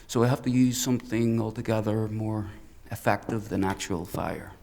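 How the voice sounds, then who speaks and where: An actor playing a menacing, fanatical hunter in a dark fantasy audio drama, speaking in a deliberate, taunting, theatrical way. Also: male voice, fairly low pitch, vocal fry, a little hiss or hum. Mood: gloating, taunting. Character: menacing male fanatical hunter, coldly articulate, mocking.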